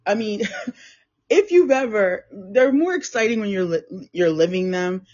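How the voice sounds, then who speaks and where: Woman host with a froggy, husky throat, chatty and repetitive, on a somewhat muffled recording. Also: medium pitch, expressive, measured pace, darkish tone. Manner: chatty, rambling, repeats and restarts phrases. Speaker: podcast host. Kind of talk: casual solo talk on mindset and manifestation.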